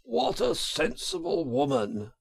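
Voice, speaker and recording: A man voicing a kindly, fussing gentleman motorist in a storybook reading, with a solicitous, genteel manner. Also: very high-pitched, wildly swooping pitch, takes it slowly, vocal fry, slightly rough, pitched above the performer's own voice, full character voice. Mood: cheerful.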